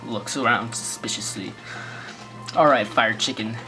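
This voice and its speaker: A man's voice: medium pitch, a little slow, low-quality recording. A man with an American accent giving goofy, rambling let's play commentary full of sarcastic jokes. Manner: joking, rambling, sarcastic asides. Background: faint game music.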